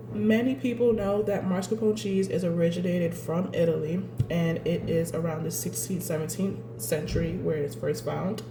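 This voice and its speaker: A woman's voice: medium pitch, gravelly, echoey room, low-quality recording. A woman with a congested voice from a cold hosts a casual home cooking tutorial, chatty and often tagging her sentences with "right?". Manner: chatty, keeps adding "right?". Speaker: cooking channel host. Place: home kitchen.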